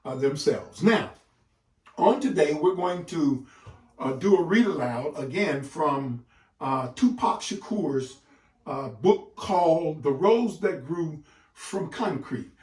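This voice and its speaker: In an echoey room, a man presents like a teacher addressing parents. His voice sits fairly high and swings widely in pitch. He leans on key words and sets them apart with deliberate, dramatic pauses. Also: animated, darkish tone. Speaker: literacy educator hosting a read-aloud session for parents. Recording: echoey room, distant microphone.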